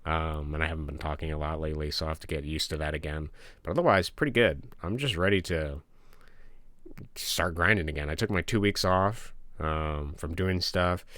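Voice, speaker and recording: Low gravelly dude on a dev stream, kinda sniffly, dry close mic. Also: male voice, moderate pace, no room sound. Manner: relaxed, rambling, reads chat aloud and answers. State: sniffling from a cold. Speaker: game developer streamer. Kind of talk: casual livestream chat. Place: home office.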